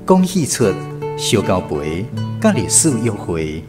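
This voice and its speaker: A man's voice: deep voice, huge pitch swings, takes it slowly, over music. A man with a Taiwanese accent narrating a scripted literary radio drama.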